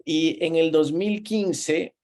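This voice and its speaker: A man in his thirties from Ecuador with an Ecuadorian Spanish accent, hosting a relaxed conversational interview and talking with easy pauses and restarts. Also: on the high side. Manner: relaxed, talks with frequent fillers and restarts. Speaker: host.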